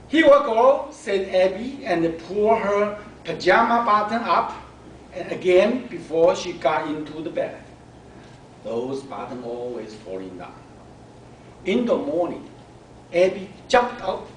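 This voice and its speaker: A man reading a children's story aloud to a club, with deliberate, halting delivery. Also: on the high side. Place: club meeting room. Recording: roomy, distant mic, faint noise.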